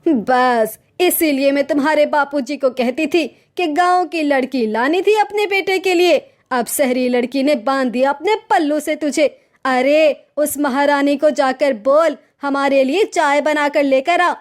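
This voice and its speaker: A woman plays a scornful older mother-in-law in a cartoon tale. The voice is high, with a theatrical, grumbling delivery. Every phrase comes out as a sarcastic taunt or nag. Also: unhurried. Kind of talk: cartoon story voice acting.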